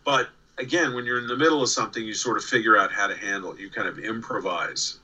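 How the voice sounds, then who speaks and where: A man's voice: lowish voice, fast, bright, forceful tone, low-quality recording. A man in his sixties from New York with a faint New York accent, telling stories as an interview guest over a video call, with frequent restarts and repeated words. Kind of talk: casual storytelling. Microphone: remote video call.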